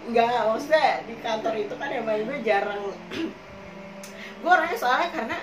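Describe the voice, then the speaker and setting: Hoarse young woman chatting from across an echoey room. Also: fairly high pitch, expressive, moderate pace. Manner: rambling, full of fillers, chatty and frank. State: hoarse, coughing. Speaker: podcast co-host telling her own experiences. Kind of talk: casual chat in Jakarta slang. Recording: echoey room, far from the mic, noisy.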